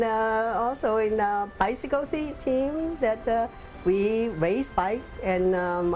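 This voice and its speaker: A woman restaurateur with a Hong Kong Chinese accent, speaking in a documentary interview and leaning on lots of fillers as she pauses and restarts. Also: lowish voice, darkish tone, low-quality recording. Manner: halting, many fillers.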